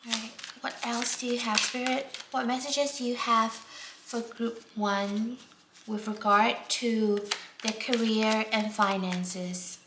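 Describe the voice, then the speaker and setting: A woman from Singapore, doing a casual tarot reading in a gentle, unhurried way with many fillers, dropping to a near whisper as she draws cards. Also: fairly high pitch, steady tone. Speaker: tarot reader. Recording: echoey room, faint background noise.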